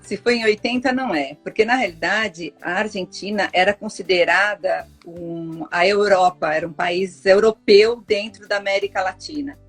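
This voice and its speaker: A woman hosts an interview, chatty and effusive, with plenty of casual fillers in her speech. Her voice has a slightly husky edge, and soft music plays behind her. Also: mid-range voice, normal speed, few pauses. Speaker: interview host.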